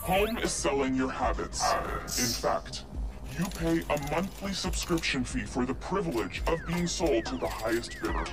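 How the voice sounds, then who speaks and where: Loud music drives under an anonymous hacktivist leader from a video game. His voice is electronically masked and menacing, and he declaims each line with slow, solemn defiance. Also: a little high, lively intonation. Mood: grave warning. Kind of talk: scripted propaganda video narration.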